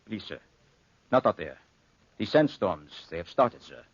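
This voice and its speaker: A man's voice: lowish voice, very animated, unhurried, husky, no echo, heavily acted. A man playing a dutiful army lieutenant in a 1940s radio drama, formal and deferential. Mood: anxious, deferential. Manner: respectful, formal. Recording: muffled, dry 1940s radio recording.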